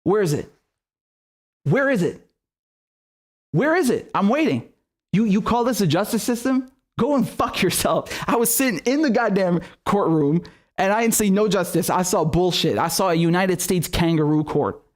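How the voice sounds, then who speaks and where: A young man from Syria hosting a live political commentary stream, ranting passionately and swearing between quick asides. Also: high voice, expressive.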